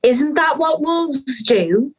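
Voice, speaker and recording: A young woman plays an earnest schoolgirl in an audio drama. Her voice is high and very melodic, moving slowly through her lines with an anxious air. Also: lively intonation. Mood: bemused, apologetic.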